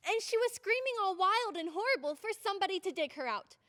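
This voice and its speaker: A woman playing an earnest, excitable young American girl in an old-time radio drama, telling her story insistently. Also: very high-pitched, quick pace, exaggerated voice. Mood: hushed suspense.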